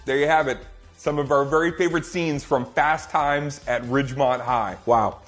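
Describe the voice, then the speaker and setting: A man's voice: mid-range voice, steady tone, moderate pace, a bit bright, soft background music. A man hosting an online charity event in an upbeat presenting style, thanking and addressing an audience. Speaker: host.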